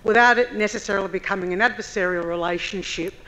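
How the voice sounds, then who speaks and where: A woman from the audience putting a formal, measured question to a panel, laying out her points one by one. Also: mid-range voice, bright, forceful tone, poor audio. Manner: measured, deliberate, enumerating points. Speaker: audience member asking a question. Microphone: handheld audience microphone.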